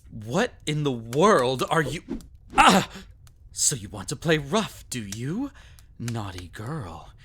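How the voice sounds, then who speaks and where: A man's voice: mid-range voice, big pitch swings, slow pace, pushed and bright, slight background noise, exaggerated voice. A man playing a sadistic, possessive vampire in intimate ASMR roleplay, theatrical and taunting, often dropping into a whisper. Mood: angry, threatening. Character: sadistic, possessive male vampire toying with a captive.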